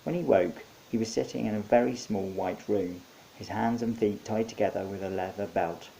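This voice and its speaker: A man's voice: on the low side, moderate pace, vocal fry, mellow, poor audio. A man narrating his own short story in a wry, conversational storytelling manner. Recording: faint background hiss.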